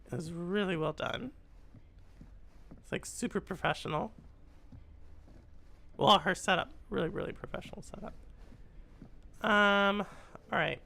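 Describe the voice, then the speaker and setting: A game streamer with a raspy, congested voice that has a gravelly edge and a bright, sharp tone. The talk is chatty, and they keep muttering to themselves while they search the screen. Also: mid-range voice, expressive, measured pace, long pauses. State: congested from a cold. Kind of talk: live gameplay stream with chat.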